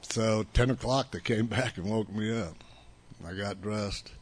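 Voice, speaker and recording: An older man in his seventies, with a fairly low voice, gives a personal testimony to a listening crowd. He talks bluntly and loosely, circling back and restarting his sentences as he goes. His first language was Italian. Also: steady tone, measured pace, a little hiss or hum. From United States. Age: 70s. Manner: rambling, blunt storytelling with restarts. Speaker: speaker sharing his recovery story.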